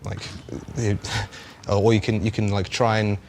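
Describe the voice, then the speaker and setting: A man's voice: lowish voice, level tone, a little slow, a little mellow, slight background noise. A man speaking casually and unscripted as a guest in a discussion about his work. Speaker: show guest, game developer.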